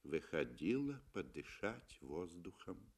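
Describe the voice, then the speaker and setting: A man's voice: sits low, big pitch swings, slow pace, slightly rough, pitched below the performer's own voice, heavily acted. A man voicing a cold, mocking, unfaithful wife in 1960s radio style, languid and dismissive.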